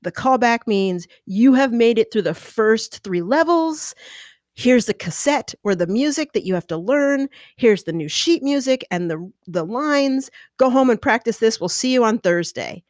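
A middle-aged woman with a lower, mezzo-alto voice tells a personal story on her podcast. She is animated and expressive, talking with her hands. The sound is dry and close to the microphone. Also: a little slow, dry recording. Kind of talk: casual storytelling.

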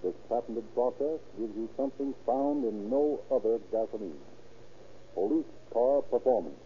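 A male announcer reads an old-time radio commercial from a script in a mid-pitched voice. The sound is narrow and phone-like, with faint noise. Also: lively intonation, moderate pace. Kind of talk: 1930s radio advertising read. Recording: thin, noisy old radio recording.

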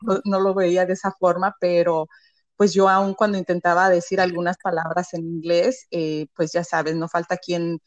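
A woman's voice: on the low side, level tone, moderate pace, no echo. A woman with a Mexican accent, a business founder speaking as an interview guest, talking reflectively with many "eh" and "¿no?" tags. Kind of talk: conversational interview.

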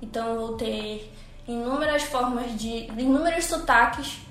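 A woman from Pará speaks with a Paraense accent as a language teacher on a panel. Her voice sits high, and she explains at length with frequent hesitations and restarts. The room around her is echoey. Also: measured pace, fairly bright tone, soft background music. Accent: Paraense Brazilian Portuguese. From Pará, Brazil. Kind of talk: educational panel discussion.

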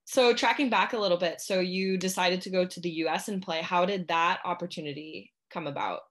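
A young woman hosts a podcast with a chatty, easygoing delivery, leaning on plenty of fillers. Her voice sits a little low for a woman, and she talks in a relaxed way with her guest. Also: brisk. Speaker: podcast host. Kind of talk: casual interview.

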